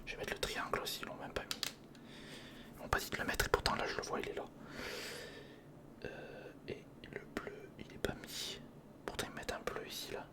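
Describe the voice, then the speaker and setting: Whispered, breathy ASMR build commentary. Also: brisk, pauses often. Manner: casual muttering, thinking aloud. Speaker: ASMR video creator.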